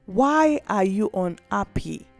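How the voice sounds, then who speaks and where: Woman voicing a gentle, sorrowful beast, slow-spoken. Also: mid-range voice, expressive, gravelly, dry recording, faint music behind, voice pushed down. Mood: concerned. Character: kind, sad, frightening-looking male beast.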